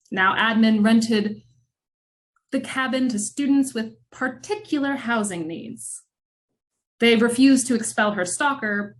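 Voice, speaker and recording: Woman narrating a noir radio drama. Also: medium pitch. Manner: measured, dramatic storytelling with wry asides.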